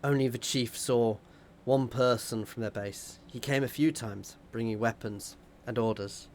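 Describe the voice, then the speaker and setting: A man's voice: fairly low pitch, a little hiss or hum, close to an everyday voice. A man reading as a pleading peasant rebel. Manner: pleading, hushed and earnest. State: slightly hoarse voice. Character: desperate male peasant rebel prisoner.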